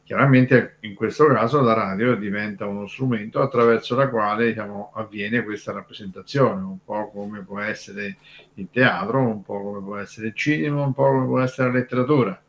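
A man's voice: lowish voice, moderate pace. A man explaining conversationally on a radio show, discursive and hesitant, repeating words as he goes. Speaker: radio show co-host and commentator.

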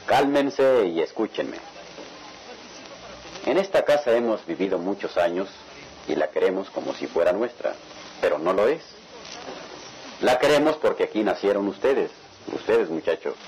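A man's voice: low voice, very animated, normal speed, pauses often, darkish tone, slight background noise, rough recording. An actor playing a proud, jovial middle-aged father in a radionovela, with a declamatory manner. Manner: chuckling, declamatory patriarch. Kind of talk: radionovela acting.

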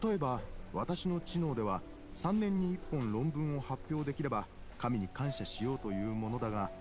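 A man's voice: mid-range voice, expressive, moderate pace, a little mellow, lightly acted. A man voicing a middle-aged scholar in a video game, nervous yet self-important, with a fussy academic manner. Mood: advising. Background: game music.

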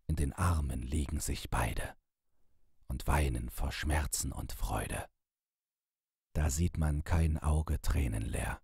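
A man narrates verse in a deep, slow voice with a husky edge. His recitation is solemn and expressive, like a dramatic audiobook reading. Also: dry recording, studio quality. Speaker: narrator, author reading a classic ballad.

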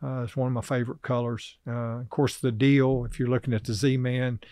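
A middle-aged man, a podcast guest talking casually and haltingly about fishing, full of fillers and restarts. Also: medium pitch, level tone, darkish tone, no echo, studio quality. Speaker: podcast guest, river angler. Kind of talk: casual interview chat. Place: small office studio, indoors. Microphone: desk podcast mic on boom arm.

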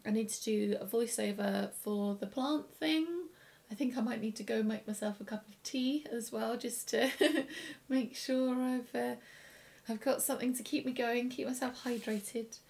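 A young woman with a croaky, tired voice, chatting casually to camera in her home studio. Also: on the high side, fairly even intonation, unhurried. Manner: chatty, rambling, with soft laughs. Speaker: illustrator vlogger. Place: home studio room with plants.

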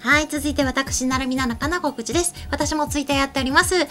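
A woman's voice: high-pitched, brisk, a bit bright. A young woman co-hosting a show, chatting casually at a desk microphone in a small indoor studio. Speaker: show co-host and creator. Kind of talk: casual chat. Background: faint music.

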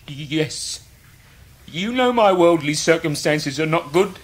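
An actor playing a poor English schoolteacher with wounded dignity and a husky voice in a period radio drama. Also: male voice, a little high, lively intonation, slow pace, exaggerated voice. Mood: wounded, restrained.

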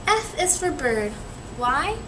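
Slow, high-voiced young woman reading to kids. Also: very animated. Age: young adult. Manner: teacherly, prompting with questions. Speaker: reader of a children's picture book. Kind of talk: read-aloud to a group of children, with questions. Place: small indoor room, seated in a chair. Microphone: camera a few metres away. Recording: distant camera mic, clearly noisy room.

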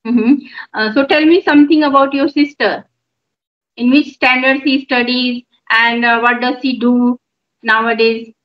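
High-voiced woman on a dull call line. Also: steady tone. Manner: measured, encouraging, with filler pauses. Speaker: YouTube channel host and mother. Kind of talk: English conversation practice on a video call. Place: room at home. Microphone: phone on a video call.

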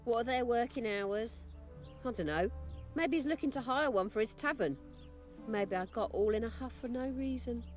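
A woman's voice: fairly high pitch, normal speed, faint music behind, natural voice. An actress playing a fiery, plain-spoken townswoman in a video game, with an earthy, blunt manner. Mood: softening.

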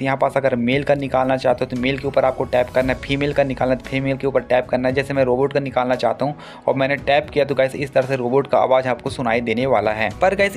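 A young man, narrating an app tutorial as a gaming channel host, instructing viewers directly. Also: mid-range voice. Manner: repeatedly addresses viewers, instructional. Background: music behind the voice.

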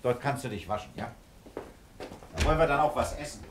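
An actor playing a calm, fatherly middle-aged police commissioner in a German radio crime drama, patient and persuasive as he coaxes and probes. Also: mid-range voice, brisk, reverberant, slight background noise, natural voice. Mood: gentle, paternal.

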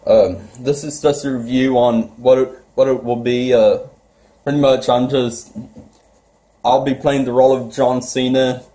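Mellow-voiced young man vlogging. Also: mid-range voice. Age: young adult. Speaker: video creator. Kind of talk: casual vlog introduction. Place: bedroom.

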